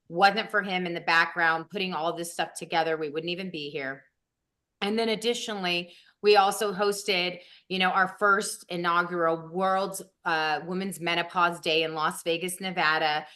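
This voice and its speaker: A woman's voice: lowish voice, pushed and bright. A woman in her fifties hosting a show over a video call, introducing her guest with rambling, enthusiastic praise. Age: 50s. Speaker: host.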